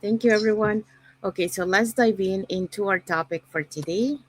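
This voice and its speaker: A woman from Panama hosting an online panel show in a relaxed way, with many fillers. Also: medium pitch, normal speed, rough recording. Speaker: host.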